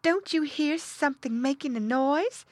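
A woman's voice: sits high, animated, moderate pace, no echo, voice pushed up. A woman voicing an anxious, motherly female pig in a children's storybook, fretful and exclaiming. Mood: worried.

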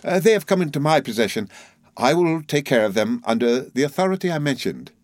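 A man voicing a calm, polite and practical young gentleman lawyer in an audiobook, firm but slightly hesitant in manner. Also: a little high, fairly quick, dry recording, clean studio sound, voice pushed up. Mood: reassuring. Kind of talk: audiobook character voice.

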